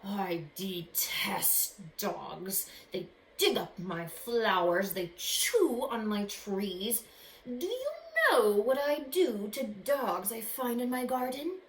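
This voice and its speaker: A woman voicing a stern, menacing male magician in a storybook, with a growling, slow and emphatic delivery. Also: mid-range voice, animated. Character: stern, menacing adult male magician who detests dogs. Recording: echoey room with background noise.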